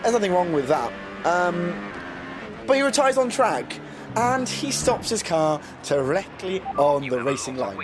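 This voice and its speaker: Male gaming commentator, voice going hoarse, rambling self-deprecating delivery, music bed underneath. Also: high voice, very animated, a little slow. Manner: self-mocking, rambling, many ums. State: losing his voice, getting ill. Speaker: racing game commentator. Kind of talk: casual commentary over race footage. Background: background music.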